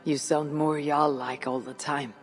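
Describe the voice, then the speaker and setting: An actor playing a resolute Viking clan leader in a game cutscene, speaking in a measured, formal way. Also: male voice, on the high side, flat delivery, in a large hall, soft background music, close to an everyday voice. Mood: grave. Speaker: Viking jarl character.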